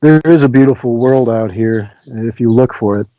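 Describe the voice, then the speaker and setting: A man co-hosting a podcast, mostly chiming in with short agreement and signing off gently. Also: medium pitch, moderate pace, no room sound. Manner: brief agreeing replies, gentle upbeat sign-off. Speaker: podcast co-host. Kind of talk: casual political chat. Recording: muffled dull audio.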